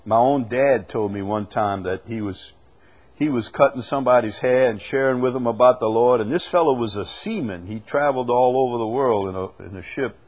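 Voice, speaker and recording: Male Bible teacher, mid-pitched, emphatic, loud-to-soft delivery, interactive teaching style. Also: fairly quick, a little hiss or hum. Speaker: preacher leading a Bible study. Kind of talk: teaching with questions from the congregation.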